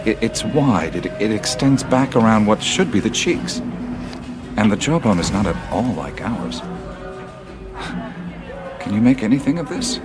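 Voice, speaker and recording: Low-voiced, thoughtful adventurer. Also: male voice, expressive, music in the background. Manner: hesitant, musing, halting. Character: thoughtful, troubled male adventurer seeking answers. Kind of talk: radio drama acting.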